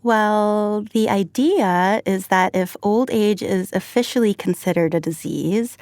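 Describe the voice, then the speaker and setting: A woman co-hosting a podcast, explaining things in a measured way. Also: mid-range voice, a little mellow, no room sound, clean studio sound. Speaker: staff writer and co-host. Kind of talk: podcast conversation.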